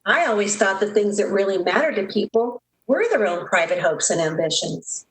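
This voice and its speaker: A woman's voice: medium pitch, lively intonation, moderate pace, natural voice. A woman playing a proud, lovestruck young woman in an emotive, melodramatic 1930s-style radio drama. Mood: concerned. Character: lovestruck, proud young switchboard operator, heartbroken. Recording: roomy echo, background noise.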